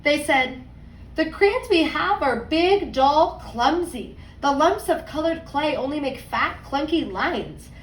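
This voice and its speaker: Echoey, higher-pitched woman reading to young children. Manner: expressive, deliberate storytelling with emphasized words and conversational asides. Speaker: second grade teacher. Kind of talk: picture book read-aloud for young children, with explanatory asides. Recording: roomy echo, distant mic, faint noise.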